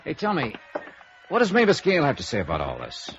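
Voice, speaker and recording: An actor playing a wry, probing middle-aged American insurance investigator in 1950s radio noir, talking and narrating in a conversational way. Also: male voice, a little high, wildly swooping pitch, fast talker, background noise. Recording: dull vintage radio recording, music behind.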